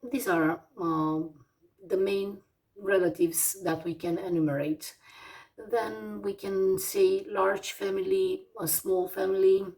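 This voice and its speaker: A Romanian woman in her forties with a Romanian accent, teaching English vocabulary slowly, with many fillers and pauses as she lists words one by one. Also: medium pitch, level tone, warm tone, far from the mic, rough recording. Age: 40s. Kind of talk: slow vocabulary lesson.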